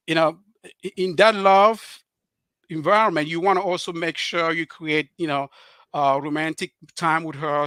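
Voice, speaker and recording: A middle-aged man from Cameroon with a Cameroonian French accent, a guest on a live show talking earnestly and haltingly, with many fillers and restarts. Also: fairly high pitch, pushed and bright, no echo. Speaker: author and guest.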